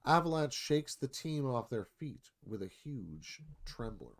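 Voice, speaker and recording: Lively mid-pitched male narrator. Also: unhurried. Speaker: narrator reading stage directions. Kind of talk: dramatic scripted narration.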